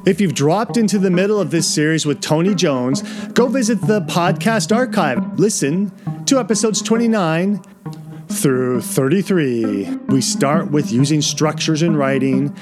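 A man hosting a podcast in a casual, conversational style, with halting phrasing that often repeats words. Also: fairly high pitch, animated, music in the background. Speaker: podcast host. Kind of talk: casual interview and podcast narration.

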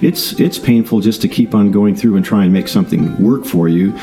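A man's voice: fairly low pitch, a little fast, mellow, soft background music. An older man, a retired scientist turned author, chatting in a rambling way with many fillers and restarts. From Southern California. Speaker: guest author. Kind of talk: casual interview chat.

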